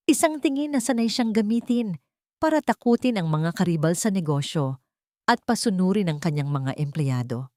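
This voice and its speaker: A woman's voice: fairly low pitch, darkish tone, dry recording, clean studio sound. A woman with a Filipino accent reading a scripted story in a dramatic narrating style. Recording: music behind the voice. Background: music.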